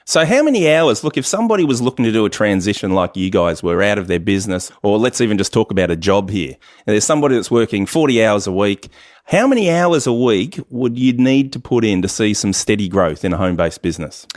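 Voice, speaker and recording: A man with an Australian accent hosts an interview. His voice is on the low side, and his questioning is informal and curious. The sound is very dry. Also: animated, quick pace, no echo. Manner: inquisitive, informal. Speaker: interviewer, host. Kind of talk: conversational radio interview.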